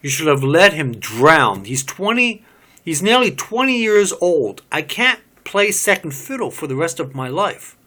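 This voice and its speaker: A man's voice: a little high, big pitch swings, a little slow, sharp tone, voice pushed up, exaggerated voice. A man reading the part of a bitter, resentful young man who rants with curses. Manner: scornful, cursing rant. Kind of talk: amateur script reading.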